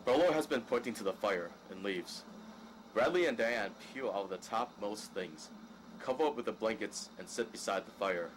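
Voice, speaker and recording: A man's voice: fairly low pitch, animated, normal speed. A man reading scripted narration of stage directions for an audio drama. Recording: clearly noisy background.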